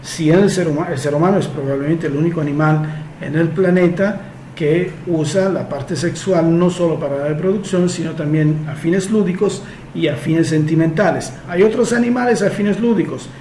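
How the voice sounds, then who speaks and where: A man's voice: fairly high pitch, normal speed, mellow. Man, advice host, explanatory, echoey room, faint background noise. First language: Italian. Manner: explanatory, uses homely comparisons. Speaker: host answering listener questions. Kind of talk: advice talk reading a letter and answering.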